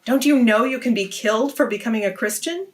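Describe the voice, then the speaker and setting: A woman's voice: a little high, fairly quick. A woman hosting a read-aloud, narrating a story for children.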